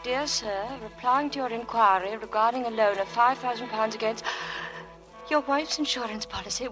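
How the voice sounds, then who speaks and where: Actress as a high-voiced, anxious young wife. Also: female voice, moderate pace, over music, close to an everyday voice, low-quality recording. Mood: tense. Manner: hesitant, guarded. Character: anxious, suspicious young wife hiding her fear. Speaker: radio actress. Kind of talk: 1940s radio acting. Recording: old radio broadcast recording.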